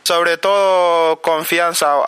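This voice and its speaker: A man in his twenties from Mali, answering interview questions haltingly, with many restarts and fillers. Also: a little high, flat delivery, pushed and bright, clear, resonant tone, no room sound. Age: 20s. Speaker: summer camp participant.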